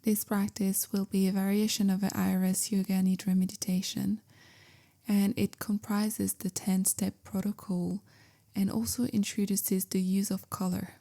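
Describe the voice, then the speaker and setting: A woman leads a guided meditation with gentle, measured calm. Her voice is mellow and even in pitch, settling into a soft creak. Phrases often trail off into a breathy near-whisper. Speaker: meditation guide, yoga teacher. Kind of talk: guided meditation, slow soothing instruction.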